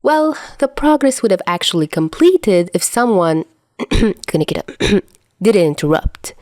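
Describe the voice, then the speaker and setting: A woman narrating fan fiction as an audio reader, in an expressive storytelling style. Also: medium pitch, a little slow, no echo, studio quality. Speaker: podfic narrator.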